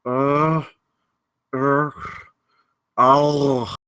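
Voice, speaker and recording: A man's voice: mid-range voice, level tone, extremely slow, sharp tone, clean tone, no echo, clean studio sound. A man playing a tongueless, scarred hermit hunter who communicates in anguished, wordless moans in a drama table read. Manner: wordless moaning vocalisations.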